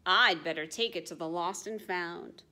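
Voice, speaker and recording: A woman's voice: lowish voice, moderate pace, sharp tone. A woman reading the lines of a kindly male zookeeper in a picture book, in a matter-of-fact way, close to her own voice.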